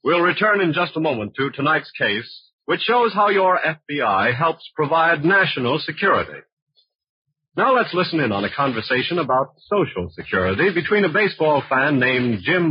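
A man announcing and pitching insurance in scripted 1940s radio advertising style, emphatic and persuasive. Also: very animated, moderate pace, slightly rough. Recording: muffled vintage radio recording.

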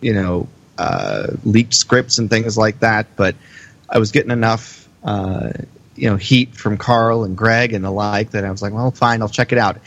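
A man co-hosting a podcast in a casual, halting way, repeating phrases for emphasis. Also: on the low side, dull audio. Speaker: podcast co-host.

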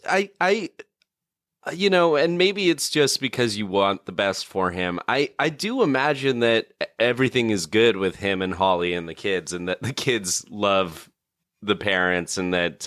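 Guy hosting a chatty podcast, lowish voice, kinda halting, dry close sound. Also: a bit bright, no echo. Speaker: podcast host. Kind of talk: casual podcast chat.

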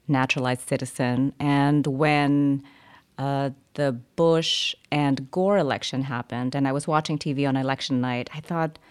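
A woman's voice: deep voice, darkish tone. A woman in her fifties from Indonesia and Germany, a podcaster answering interview questions conversationally as a guest. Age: 50s.

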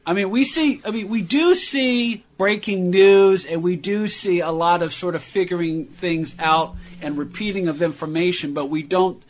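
High-pitched male radio host. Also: moderate pace, hardly any pauses. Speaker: radio talk show host. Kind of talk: radio hosting and discussion.

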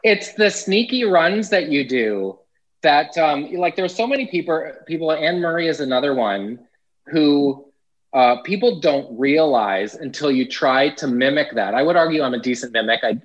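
A man's voice: medium pitch, expressive, normal speed. Canadian man, actor guest, wry, self-deprecating, dull lo-fi sound. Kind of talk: casual interview chat.

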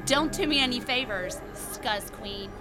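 An American woman playing a spiteful high school mean girl in an audio drama, sarcastic and scornful. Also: measured pace, loud music behind the voice, lightly acted.

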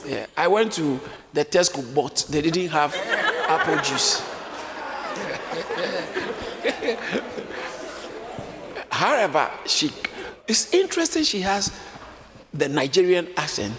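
A man preaching a sermon, lively and often acting out the voices of a crowd, joking with his congregation. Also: on the high side, slow pace, long pauses. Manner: animated, acts out crowd voices, jokes with congregation. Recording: echoey hall with faint room noise.

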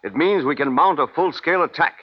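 A man's voice: a little high, fairly quick, breathy edge. A man playing a stubborn, commanding army colonel in 1950s radio drama, with a clipped, authoritative delivery. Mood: triumphant, hard. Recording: dull old radio recording.

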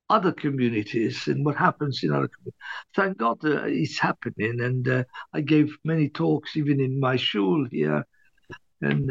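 An older man from Libya, an author, recalling his past in an interview with many restarts and much throat clearing. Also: mid-range voice, no echo, dull audio. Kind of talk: hesitant interview reminiscence.

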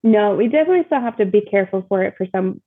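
A woman's voice: mid-range voice, expressive, very fast, husky, phone-quality sound. A woman guest chatting casually and informally about her own experience, with a self-deprecating touch, over thin call audio. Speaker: interview guest, mother of young child. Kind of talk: casual chat.

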